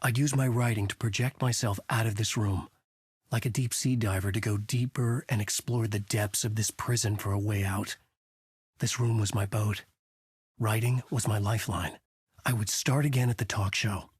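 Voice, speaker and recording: An actor playing a haunted, desperate horror writer, narrating in a brooding, intense noir style for a video game. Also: male voice, fairly low pitch, steady tone, moderate pace, husky, no room sound. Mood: brooding, grave. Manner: brooding, intense, terse delivery. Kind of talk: dramatic first-person noir narration.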